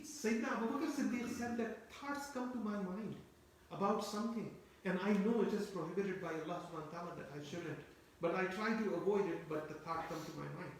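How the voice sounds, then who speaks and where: Echoey, distant lecturing man with a high voice. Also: slight background noise. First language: Turkish. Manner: explanatory, repeats phrases, asks listeners rhetorical questions. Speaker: Quran teacher. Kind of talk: tafsir lecture. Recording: very echoey room, voice from far off.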